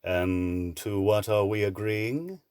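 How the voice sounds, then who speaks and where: A man's voice: lowish voice, takes it slowly, a little mellow, clean tone, no echo, studio quality. A man playing a suave, menacing villain in an audio drama, mannered, condescending and mockingly polite. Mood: mocking, calculating.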